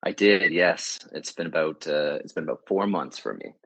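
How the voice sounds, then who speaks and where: Very deep husky Canadian man. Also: moderate pace, fairly bright tone, no room sound. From Canada, Prince Edward Island. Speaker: podcast guest, media agency CEO. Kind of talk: casual interview answers.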